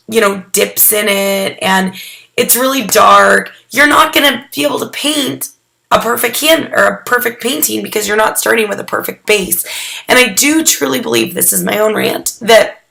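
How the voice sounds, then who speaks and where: A hoarse young woman getting over a cold, talking casually through a photography critique, rambling with lots of "um"s and restarts. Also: medium pitch, bright, forceful tone. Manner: chatty and rambling, with many "um"s and restarts. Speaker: photography mentor critiquing student work. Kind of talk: casual recorded critique addressed to students.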